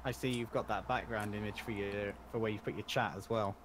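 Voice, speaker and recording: An easygoing man joins a live-streamed podcast with a fairly low voice. He chats loosely and jokes along with the hosts. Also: fairly even intonation, brisk, fairly bright tone, slight background noise. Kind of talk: casual chat.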